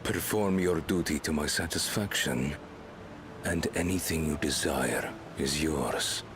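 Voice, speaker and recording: A man acting a cold, imperious reptilian warlord in a video game, commanding and detached in his measured speech. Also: a bass voice, music in the background.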